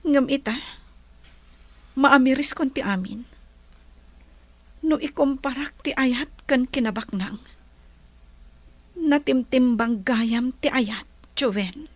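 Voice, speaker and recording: A woman's voice: sits high, takes it slowly, long pauses, no room sound, full character voice. A woman playing a wealthy lady in a radio drama, speaking earnestly. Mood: reflective. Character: wealthy woman Annabel, worried but reflective.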